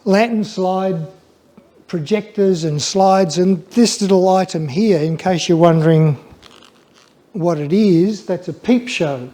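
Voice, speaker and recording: An older Australian man giving an informal public talk, reflective and hesitant, often stammering over the starts of phrases in a flat, dull voice. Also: high voice, slow. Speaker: guest speaker, former museum photography curator.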